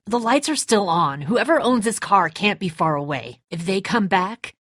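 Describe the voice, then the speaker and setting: A woman's voice: medium pitch, lively intonation, normal speed, a bit bright, no room sound, clean studio sound. A woman playing a tough, bitter, defensive apocalypse survivor in a dramatic video game, speaking in a terse, confrontational way. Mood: firm, wary.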